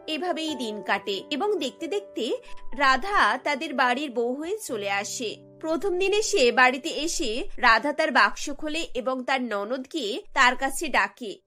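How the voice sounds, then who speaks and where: A woman's voice: high-pitched, sharp tone. A woman reading scripted narration as the storyteller of a cartoon.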